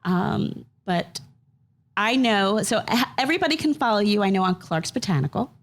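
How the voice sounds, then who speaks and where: A woman's voice: mid-range voice, lively intonation, moderate pace. Chatty woman podcast host. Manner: warm, laughing, chatty. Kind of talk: casual interview chat.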